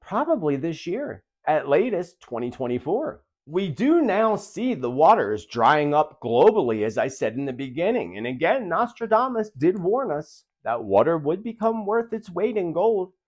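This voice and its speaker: A man with a fairly high voice presents video commentary directly to his audience. His intonation swings widely up and down, and his delivery is earnest and emphatic. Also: big pitch swings, normal speed, hardly any pauses. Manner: earnest, emphatic, rhetorical questions. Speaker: host of a prophecy video channel.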